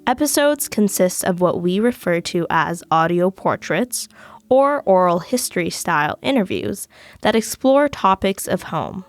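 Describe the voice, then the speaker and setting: A young woman, a student host, reading a scripted podcast introduction in a measured way. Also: lowish voice, animated. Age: young adult.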